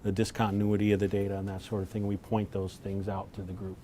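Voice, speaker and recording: Lower-voiced man briefing visitors in noisy room. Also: monotone, fast, dark tone. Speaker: lab lead presenting to visitors. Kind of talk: informal technical briefing. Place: laboratory tour, indoors. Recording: noisy room with low rumble.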